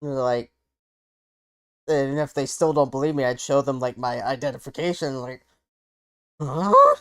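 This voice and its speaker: A man's voice: medium pitch, sing-song, a little slow, sharp tone, smooth. A man in his twenties hosting a casual podcast, teasing his friends with many fillers. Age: 20s. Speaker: podcast host. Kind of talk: casual chat.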